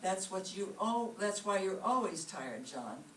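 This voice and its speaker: A woman's voice: mid-range voice, sing-song, measured pace, a bit bright. A woman playing a nagging, exasperated wife in an old-time radio comedy staged live. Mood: exasperated, scolding. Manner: scolding, exasperated. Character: nagging, put-upon wife of a bickering couple. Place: live stage performance before an audience. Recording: roomy, distant mic, faint noise.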